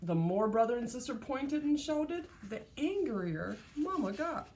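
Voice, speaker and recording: Female kindergarten storyteller: high voice, slow pace, expressive read-aloud delivery. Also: a little hiss or hum. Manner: deliberate, exclaiming, prompting young listeners. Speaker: teacher reading to kindergartners.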